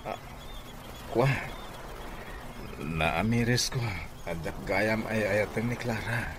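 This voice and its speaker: A man playing a guilty, evasive young husband with a hesitant, halting way of talking in a radio drama. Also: fairly low pitch, noisy background. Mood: sheepish.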